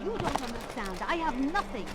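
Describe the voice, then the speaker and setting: High, wailing desperate beggar. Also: female voice, big pitch swings, fairly quick, crowd noise. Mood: desperate pleading. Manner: pleading, wailing. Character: desperate, hungry female beggar pleading for coins. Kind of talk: video game voice acting. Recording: music loud behind.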